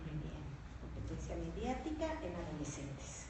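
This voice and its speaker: A woman's voice: deep voice, big pitch swings, moderate pace. A courteous woman leading a workshop in an informal way, thanking participants. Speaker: workshop leader. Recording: echoey room, distant mic, background noise.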